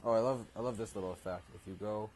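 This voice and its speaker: Low-voiced man with a noisy recording. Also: fast talker, a little mellow. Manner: informal, rambling, explanatory. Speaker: gaming video host. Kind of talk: casual let's play commentary. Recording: noisy home recording with game ambience.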